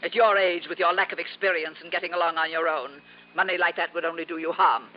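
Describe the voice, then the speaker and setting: A woman's voice: lowish voice, fairly even intonation, quick pace, close to an everyday voice. A woman playing an imperious, possessive older aunt in a 1950s radio drama, haughty and theatrical in manner. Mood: haughty, cutting. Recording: dull, noisy vintage radio recording.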